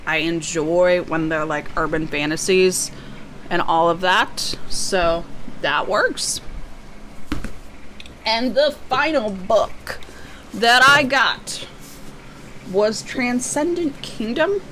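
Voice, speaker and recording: A woman with a slightly hoarse, tiring voice, chatting casually and a bit self-deprecatingly as she presents an unboxing in a rambling way. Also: fairly low pitch, animated, slow, long pauses, fairly bright tone, a little hiss or hum, poor audio. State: losing her voice, slightly hoarse. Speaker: book vlogger.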